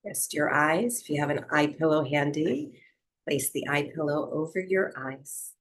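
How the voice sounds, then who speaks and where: A woman teaching yoga and breathwork, gently guiding a relaxation meditation with soothing, unhurried instruction and long pauses. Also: deep voice.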